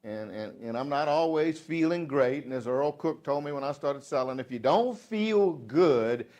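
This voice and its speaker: A Southern-accented man in his fifties talks to a sales team in a folksy, joking way. His voice sits in an ordinary middle pitch, and he drops from loud asides to near-hushed emphasis. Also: expressive, moderate pace. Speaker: motivational sales speaker. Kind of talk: live speech to a sales audience. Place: dealership meeting room.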